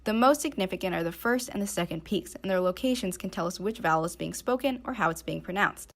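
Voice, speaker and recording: Young woman doing a scripted voiceover for her student presentation, average pitch. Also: lively intonation, quick pace. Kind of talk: scripted slideshow narration. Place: voice-over recorded for slides.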